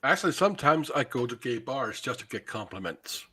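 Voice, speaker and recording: A man with a very lively, varied pitch tells a personal story on a casual podcast, recorded close and dry. His phrasing is a little halting, built up piece by piece. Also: breathy edge, no room sound. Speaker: podcast panelist.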